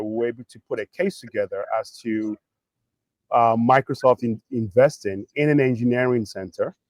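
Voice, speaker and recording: A middle-aged man from Nigeria talks conversationally as an interview guest. His voice sits at an average pitch in a dry recording. He is reflective, often pausing or restarting partway through a phrase. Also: darkish tone, dry recording. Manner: halting, thoughtful, pausing mid-phrase. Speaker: interview guest, tech executive.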